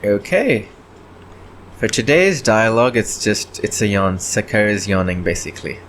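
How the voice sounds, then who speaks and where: A man chatting casually and haltingly as he thinks aloud while working on his indie game devlog. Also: fairly low pitch, a bit bright, a little hiss or hum. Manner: halting, often clearing throat.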